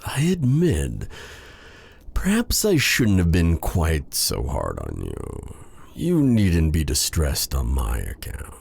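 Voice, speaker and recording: A man as a deep, husky, courtly dark sorcerer. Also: full character voice. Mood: calm, reassuring. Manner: theatrical, halting, courtly endearments. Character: proud dark sorcerer, male, tender captor with courtly manners. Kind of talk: intimate ASMR audio roleplay drama.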